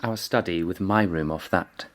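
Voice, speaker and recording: An actor playing an eager, affectionate young upper-class student in a radio drama, chummy and brisk. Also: male voice, low-pitched, close to an everyday voice. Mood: fond, eager. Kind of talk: period radio drama acting.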